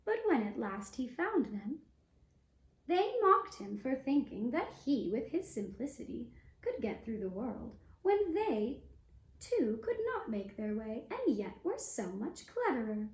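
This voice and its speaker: High female voice, fairy-tale puppet-show narration, unhurried and deliberate, roomy echo. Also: very animated. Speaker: storyteller and puppeteer. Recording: roomy, echoing sound.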